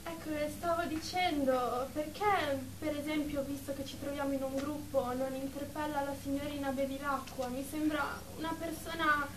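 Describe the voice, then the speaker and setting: A woman's voice: high-pitched, lightly acted. An actress playing a fragile, nervous young female shop assistant in therapy, hesitant and evasive, in a radio drama. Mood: polite, evasive. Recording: roomy, distant mic, noisy background.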